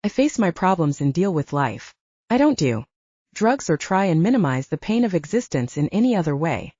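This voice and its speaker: A woman's voice: on the low side, very animated, moderate pace, dark tone, slightly rough, no room sound, dull audio. A woman voicing an anxious, curious young heroine with an earnest, questioning manner in an audiobook. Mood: troubled.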